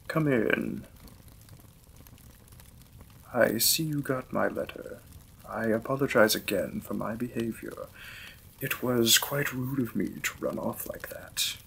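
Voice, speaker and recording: A man playing a gentle, apologetic Victorian vampire lord in a romantic audio roleplay, speaking formally and tenderly as a courteous new husband. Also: mid-range voice, a little slow, gravelly, a little hiss or hum, poor audio. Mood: courteous, warm.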